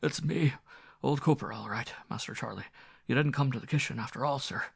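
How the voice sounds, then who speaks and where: A man doing a quiet old rustic butler. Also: mid-range voice, fairly quick, creaky, breathy edge, dry recording, studio quality, voice pushed up. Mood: deferential. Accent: rustic English dialect. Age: older. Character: old male butler, timid and superstitious.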